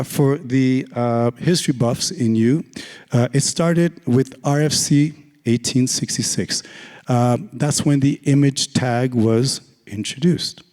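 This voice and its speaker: A hoarse man with a raspy voice giving a casual, joking conference talk, full of fillers. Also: medium pitch, a little mellow. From Canada. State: hoarse, lost voice. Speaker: conference speaker. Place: large conference hall. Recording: long hall reverb.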